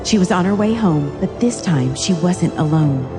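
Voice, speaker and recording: A woman's voice: on the low side, lively intonation, moderate pace, long reverb tail, over music. A woman reading scripted narration for a dramatic story.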